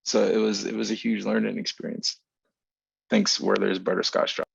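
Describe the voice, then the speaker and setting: A man with a lowish voice and noticeable fry speaks thoughtfully and haltingly, like a writer reflecting on a panel. His delivery is fairly even, and the sound is dry and somewhat dull, as on lo-fi call audio. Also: no room sound. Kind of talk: reflective, informal panel answer.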